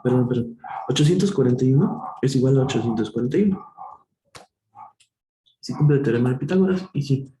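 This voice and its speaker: A man's voice: mid-range voice, animated, dark tone. A man tutoring math live online, working through problems step by step, thinking aloud and correcting himself. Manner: thinks aloud, self-correcting, slow and patient. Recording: echoey room, faint noise.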